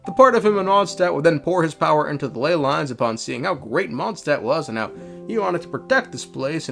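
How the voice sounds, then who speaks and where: A man giving a casual, irreverent game story recap with sweary, sardonic asides. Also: sits high.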